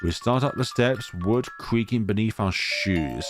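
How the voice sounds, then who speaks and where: A man's voice: fairly low pitch, unhurried. A man hosting a gaming video, reading a visual novel aloud and adding his own asides. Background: music.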